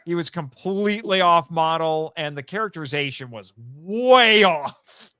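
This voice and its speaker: Clear, higher-pitched man chatting animatedly. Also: expressive, a little slow, bright, forceful tone, no echo, studio quality. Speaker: guest, audio drama writer and voice actor. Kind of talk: casual fan chat.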